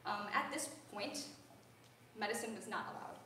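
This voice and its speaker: A woman's voice: medium pitch, normal speed, bright, forceful tone. Young woman lecturing, earnest, lots of ums, far from the mic in a big echoey hall. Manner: earnest, conversational, frequent ums. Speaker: voice student giving a lecture recital. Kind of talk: spoken lecture. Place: large recital hall with a grand piano. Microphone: far-off room camera. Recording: echoey hall, heard from afar, faint hiss.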